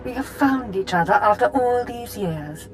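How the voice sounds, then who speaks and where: A woman's voice: a little high, expressive, normal speed, natural voice, low-quality recording. A woman voicing a refined, lovestruck noblewoman whose speech is formal and honeyed. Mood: affectionate. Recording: game audio with music.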